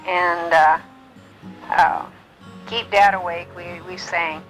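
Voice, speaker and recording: Bright-voiced elderly woman reminiscing gently. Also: on the low side, steady tone, faint music behind, rough recording. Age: older. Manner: gentle, unhurried storytelling with many ums and uhs. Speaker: mother recalling her life for family. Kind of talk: reminiscing, answering memory prompts.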